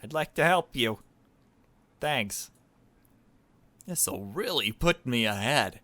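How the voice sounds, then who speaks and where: A man plays a young female amateur reporter in a visual novel game. The character sounds brisk and curious, out for personal gain. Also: mid-range voice, animated, sharp tone, dry recording.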